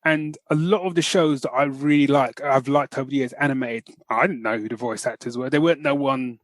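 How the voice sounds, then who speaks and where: A British man co-hosting a podcast, reviewing enthusiastically in casual, slangy, animated talk. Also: medium pitch, fairly quick, no echo, studio quality. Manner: animated, slangy, often addresses listener as man. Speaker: podcast host.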